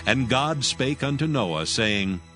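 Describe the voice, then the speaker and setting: A man narrating scripture from a script in a measured, solemn way. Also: medium pitch, lively intonation, pushed and bright. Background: music.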